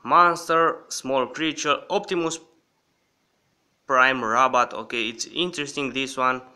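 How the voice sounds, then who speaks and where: A man hosting a casual app walkthrough in a halting manner, often checking in with the viewer. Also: mid-range voice, steady tone, bright, forceful tone, smooth. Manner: halting, repeatedly checking in with "okay". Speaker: host of an app review channel.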